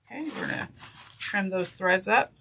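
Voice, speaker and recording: Woman, nasal and stuffed up, gravelly texture; chatty, self-correcting craft teacher; clear background noise. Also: medium pitch, lively intonation, normal speed. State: congested. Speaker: sewing instructor. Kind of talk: step-by-step craft tutorial. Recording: noisy with clicks.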